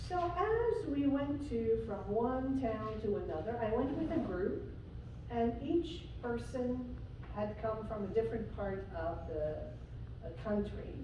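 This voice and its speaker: Slow-speaking woman preacher from Syria. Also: a little high, expressive, highs cut off, noisy background. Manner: slow, personal storytelling with frequent pauses and fillers. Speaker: guest preacher. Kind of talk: sermon. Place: church sanctuary. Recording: echoey church from far off.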